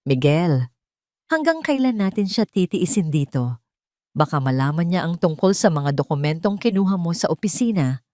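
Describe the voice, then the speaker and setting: A woman's voice: lowish voice, big pitch swings, normal speed, mellow, no echo, studio quality. Woman playing a sly, manipulative mistress. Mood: sly, impatient. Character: manipulative young pregnant mistress, falsely meek, sly.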